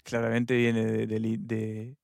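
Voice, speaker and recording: A man with a Uruguayan accent, chatting casually on a podcast panel, often pausing with fillers. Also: fairly low pitch, completely flat delivery, creaky, darkish tone, no echo, studio quality. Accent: Uruguayan Spanish. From Uruguay, from the interior. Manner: hesitant, many fillers and restarts. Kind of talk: casual group chat.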